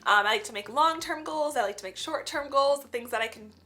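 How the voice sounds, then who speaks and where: A woman's voice: high voice, a little fast, sharp tone. A young woman with a stuffy, cold-blocked voice, talking in an earnest, chatty way full of "like" fillers in a reflective vlog. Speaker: vlogger. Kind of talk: casual reflective vlog talk.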